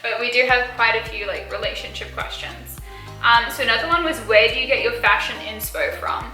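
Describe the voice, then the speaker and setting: A woman's voice: a little high, a little fast, bright, forceful tone, roomy sound, soft background music, poor audio. A young woman in her early twenties from South Africa with a mild Australian accent, chatting casually as she answers viewer questions, full of fillers and little giggles. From South Africa, raised partly in Australia. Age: early 20s. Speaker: beauty and lifestyle YouTuber.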